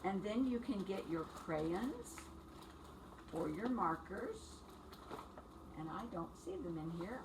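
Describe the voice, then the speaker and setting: Lady teacher doing story time for small kids, medium pitch, simple encouraging talk, noisy and off mic. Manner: simple, encouraging phrasing with questions addressed to children. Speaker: young children's teacher reading a picture book aloud. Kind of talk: read-aloud story time and instructions to small children. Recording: distant mic with clear background noise.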